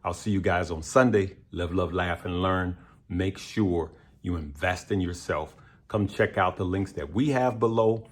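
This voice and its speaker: A man with a very deep voice, worn hoarse and nearly gone, hosts his own investing channel. He speaks casually and emphatically, pressing his points and checking in with his audience over and over. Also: unhurried. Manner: emphatic, addresses viewers directly with repeated "okay?" and "right?". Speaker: stock and crypto channel host. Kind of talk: casual direct-to-camera advice.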